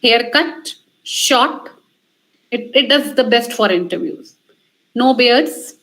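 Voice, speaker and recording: Indian woman trainer with a higher-pitched voice. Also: expressive, slow pace, fairly bright tone, poor audio. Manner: emphatic, repeats points in short directive phrases. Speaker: communication trainer giving a lecture. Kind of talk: instructional talk on interview skills.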